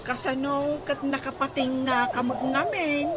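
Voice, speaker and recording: A woman acting in an Ilocano radio comedy drama as a dramatic, complaining village woman with drawn-out delivery. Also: high-pitched, steady tone, heavy background noise. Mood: coaxing.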